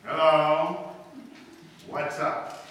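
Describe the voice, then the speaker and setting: Guy playing dry laid back gentleman, slow bright voice, radio play, echoey with faint noise. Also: on the high side, little pitch movement. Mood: dry, amused. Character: C K Dexter Haven, dry, easygoing gentleman host. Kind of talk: live old-time radio stage acting. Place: live stage performance in a hall. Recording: very echoey hall, distant microphone, background noise.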